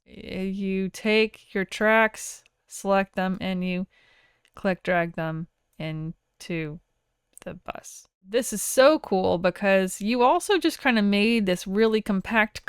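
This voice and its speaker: A woman teaching audio software in a casual, conversational screen-share tutorial, informal and enthusiastic about her tools. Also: medium pitch, clean tone, dry recording, clean studio sound.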